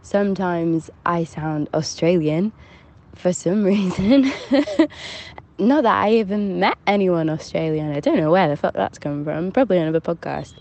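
A woman's voice: lowish voice, measured pace, warm tone. Giggly young woman leaving a voicemail. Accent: mixed English, shifting between southern and northern. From Hampshire, England. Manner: chatty, giggly, self-deprecating. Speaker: caller. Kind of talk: voicemail to a podcast.

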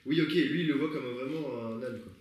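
Quick young man in echoey room. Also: mid-range voice. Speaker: podcast member brainstorming a comedy script. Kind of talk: casual slangy group chat.

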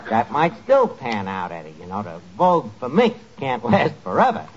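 An actor playing a scheming, wisecracking tavern manager with a dry, mock-formal way of mangling grammar in 1940s radio comedy. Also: male voice, mid-range voice, wildly swooping pitch, normal speed. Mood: dry, grumbling. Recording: muffled, noisy 1940s radio recording.

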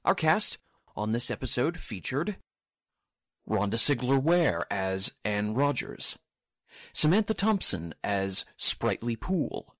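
A man's voice: medium pitch, big pitch swings, slow, no room sound. A man announcing for a radio theater show, reading scripted closing credits close to the mic.

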